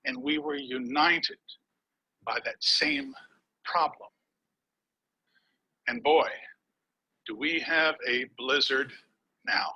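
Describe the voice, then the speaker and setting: A man giving a prepared contest speech over a webcam, with dramatic pauses and words dropped to a whisper for emphasis. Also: medium pitch, sing-song, creaky, sharp tone, slightly rough, poor audio. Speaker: contest speaker. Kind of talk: prepared speech delivered online.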